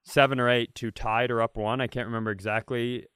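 Crisp, close-sounding man telling comic stories. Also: medium pitch, level tone, fairly quick, fairly bright tone, no room sound. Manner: profane, competitive storyteller. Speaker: podcast co-host. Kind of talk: casual comic storytelling.

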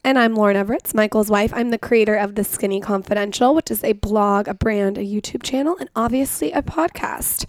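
Slightly hoarse woman co-hosting, dry close sound. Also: medium pitch, a little fast, hardly any pauses, no echo. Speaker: podcast co-host. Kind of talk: casual chat and personal ad reads.

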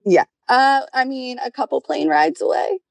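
A woman's voice: sits high, moderate pace, vocal fry, no echo. A young adult woman calling in for advice, giving a casual, conversational account of her personal life. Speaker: caller seeking relationship advice.

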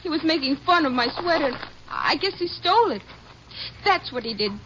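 A woman's voice: sits high, animated, moderate pace, fairly bright tone. A female performer playing a little ranch girl, earnest, wistful and easily upset, in a 1950s western radio drama. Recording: muffled, very noisy old radio recording.

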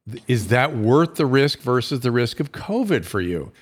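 A middle-aged man hosting a live call-in show as a physician. He talks earnestly and with emphasis, often restarting his sentences. His voice sits at an ordinary middle pitch. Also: sing-song, normal speed. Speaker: physician talk show host.

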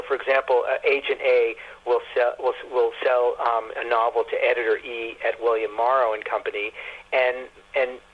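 A man speaking as an author guest in a radio interview, haltingly, often repeating words as he thinks. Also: mid-range voice, slightly rough. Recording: muffled lo-fi phone-like line.

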